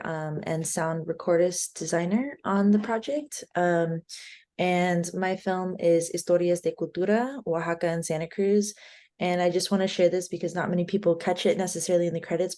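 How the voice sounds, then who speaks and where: A filmmaker on a video call describing their documentary in a soft-spoken way. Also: female voice, on the low side. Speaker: filmmaker guest.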